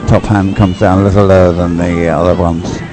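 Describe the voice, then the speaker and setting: A man's voice: low voice, flat delivery, a little fast, music in the background. A man giving unscripted live race commentary in a rambling, hesitant way, often thinking aloud. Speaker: sports commentator.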